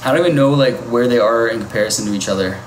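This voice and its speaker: A man's voice: mid-range voice, rapid-fire. A man on a casual livestream, chatting and reacting to his viewers' comments. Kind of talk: casual live-stream chat. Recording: echoey with loud ambient noise.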